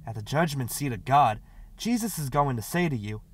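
A man's voice: mid-range voice, sing-song, moderate pace, a little hiss or hum, lightly acted. A man reading the part of a stern, pious young rural woman in an audiobook story, with insistent, plain country speech.

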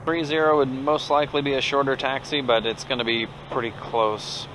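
Casting: male American pilot, mid-pitched, casual flight vlogger with clipped radio calls, clear engine noise behind. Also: a little fast, poor audio. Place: cockpit of a small turboprop plane in flight. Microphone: aviation headset. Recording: steady aircraft drone behind headset audio.

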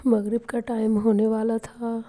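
A middle-aged woman calmly narrating her home cooking and daily routine vlog. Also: fairly high pitch, a little slow. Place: home kitchen and rooms.

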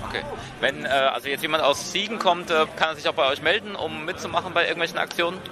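A man's voice: on the high side, fast talker, music in the background. A man doing a casual street interview as a podcast host, recorded outdoors on a handheld microphone. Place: outdoors in a busy city street. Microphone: handheld field microphone.

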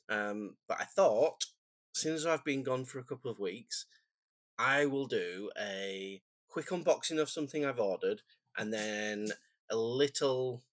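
A man talks his way through a notebook unboxing, his voice croaky and phlegmy from a cold. He rambles in a relaxed, self-deprecating way, in a middling pitch. The sound is dry and close, with no room echo. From England. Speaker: stationery vlogger. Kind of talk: casual unboxing chat. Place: home desk, indoors.

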